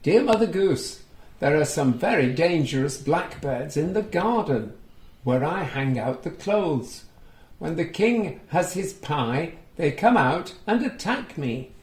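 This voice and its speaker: A man's voice: fairly high pitch, expressive, slow, roomy sound. A man voicing troubled nursery-rhyme characters who plead for help, reading their letters in a plaintive, emphatic storybook manner.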